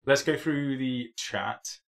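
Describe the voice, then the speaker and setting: A man's voice: medium pitch, slow pace, creaky, fairly bright tone. A young man hosting a live hobby stream in casual, rambling chat, still a bit tired from a cold. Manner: rambling, chatty, many ums and restarts.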